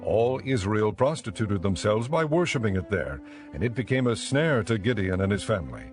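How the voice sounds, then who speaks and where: Guy narrating bible audiobook, lowish voice, solemn and measured, faint music behind. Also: sing-song, a little mellow. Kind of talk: scripted audiobook narration of scripture.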